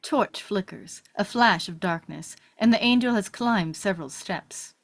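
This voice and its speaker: A woman with a mid-pitched voice narrates a script. She reads scene headings and stage directions as part of a table read. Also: measured pace.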